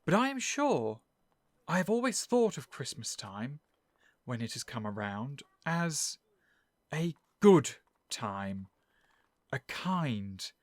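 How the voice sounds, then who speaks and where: A man plays an earnest, kindly nephew in an audio play. He speaks unhurriedly, with swooping, expressive intonation and a hearty, pleading sincerity. The recording is dry and close. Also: on the high side, no echo. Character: earnest, cheerful, warm-hearted nephew of a miser.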